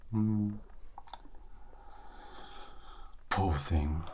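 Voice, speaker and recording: A performer voicing a sassy undead immortal in an ASMR roleplay monologue, halting and sardonic, often slipping into a breathy whisper. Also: low-pitched, noisy background. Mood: dry, wry. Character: sassy undead immortal, musing and scornful of mortals.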